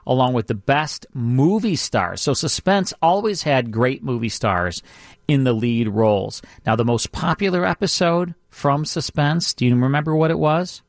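A man's voice: medium pitch, moderate pace, no room sound. Male radio host, close and dry. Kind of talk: casual radio talk about classic radio shows.

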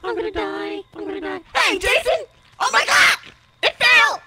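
A gamer voicing a panicky, whiny young girl through a pitched-up voice changer, pleading shrilly and repetitively, with music behind. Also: moderate pace, a loud crowd. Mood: panicked. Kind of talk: put-on character voice during online gaming.

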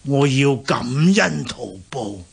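An actor playing a pitiful, starving old male seer in a radio drama, pleading and solemn in a gravelly, husky voice. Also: mid-range voice, lively intonation, takes it slowly, heavily acted. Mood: grateful, solemn.

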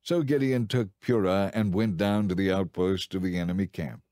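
A man narrating a dramatized audio Bible in a measured, clearly enunciated storytelling style. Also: deep voice, warm tone, dry recording, studio quality.

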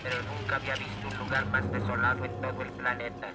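A man's voice: medium pitch, monotone, quick pace, natural voice. A man voicing an obedient, grumbling talking vehicle in a cartoon dub, mechanical, with a Latin American Spanish accent. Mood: grumbling obedience. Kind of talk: dubbed cartoon acting.

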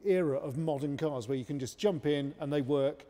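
Enthusiastic mellow-voiced male interviewer. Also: fairly high pitch, normal speed. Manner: enthusiastic, praising, stumbles and restarts. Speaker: interviewer, presenter. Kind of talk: casual interview. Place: large car showroom, indoors. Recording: echoey showroom hall.

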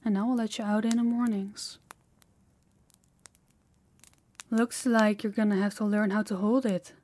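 A woman's voice: mid-range voice, no echo, natural voice. A woman playing a harsh, dominant demon in an intimate audio roleplay, commanding and menacing, often dropping toward a whisper. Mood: stern, dismissive. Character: harsh, dominant female demon training a human captive.

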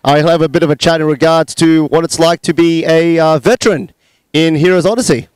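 A young man hosts an outdoor chat into a handheld mic. He talks in a fairly high register and hesitates often with little fillers. The sound is crisp and dry. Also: steady tone, fairly quick, darkish tone, no echo. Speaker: larp club leader, host. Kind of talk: casual group interview. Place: outdoors at a convention, sunny courtyard.

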